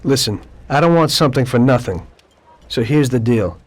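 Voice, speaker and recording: An actor voicing a weary middle-aged mobster and former cab driver in a game cutscene, speaking in a measured, matter-of-fact way. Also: male voice, mid-range voice, expressive, natural voice. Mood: guarded, earnest. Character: weary mobster and ex-taxi driver seeking a deal. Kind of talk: video game cutscene dialogue and narration.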